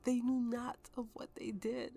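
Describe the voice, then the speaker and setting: A woman playing a tipsy, haunted warrior telling her grim story in an ASMR roleplay, halting and dramatic, sometimes sinking toward a whisper. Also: a little high, little pitch movement, mellow, no room sound, soft background music. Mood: cold, vengeful.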